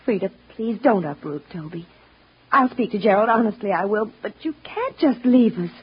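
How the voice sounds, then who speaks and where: Earnest, placating mother, very husky, animated delivery, muffled vintage radio broadcast. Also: mid-range voice, moderate pace. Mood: pleading. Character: pleading, conciliatory widowed mother, lady of the house. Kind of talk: 1940s-50s radio acting. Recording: dull old radio broadcast recording.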